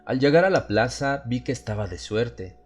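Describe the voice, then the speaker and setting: A man narrating a horror story in the first person, with a measured, literary, dramatic delivery. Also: medium pitch, expressive. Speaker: audiobook narrator. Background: music under the voice.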